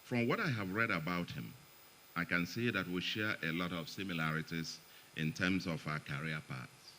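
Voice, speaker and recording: A middle-aged Nigerian man addresses a forum audience, his very deep voice carrying a bright, forceful edge. He keeps it loose, slipping in joking asides between points. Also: moderate pace, a little hiss or hum, low-quality recording. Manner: chatty, joking asides, chuckles between remarks. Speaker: guest speaker at an economic forum. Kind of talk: public speech, partly read. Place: event hall, in front of an audience.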